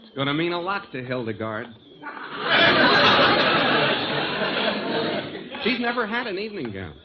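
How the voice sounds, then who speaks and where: An actor playing a dim, shameless bachelor musician sidekick in a 1940s radio sitcom, slangy and deadpan with mock dignity. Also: male voice, a little high, quick pace, a loud crowd. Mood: grateful. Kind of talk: 1940s radio sitcom acting before an audience. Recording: tinny, noisy 1940s radio recording.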